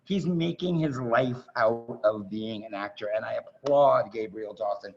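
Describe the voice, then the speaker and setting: Theatre host: man, chatty and effusive, fry in the voice, informal introductions, muffled video-call sound. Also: mid-range voice, a little slow, darkish tone, soft background music. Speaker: host of a staged reading event.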